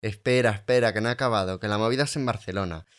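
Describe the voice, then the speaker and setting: A young man playing an excitable aspiring short-film director with a brash, slangy manner. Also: on the low side, rapid-fire, natural voice. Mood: excited.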